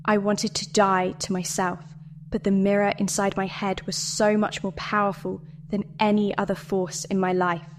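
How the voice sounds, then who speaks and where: A woman delivering a scripted guided meditation and dramatic monologue in a measured, deliberate way with pauses. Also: medium pitch, flat delivery. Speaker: meditation narrator.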